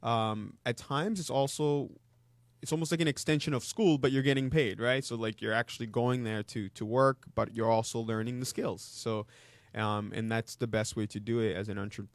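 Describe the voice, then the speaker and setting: A man in his thirties from the Democratic Republic of Congo, a guest speaking in a measured way with frequent ums. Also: mid-range voice, fairly even intonation, dry recording. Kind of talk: interview conversation.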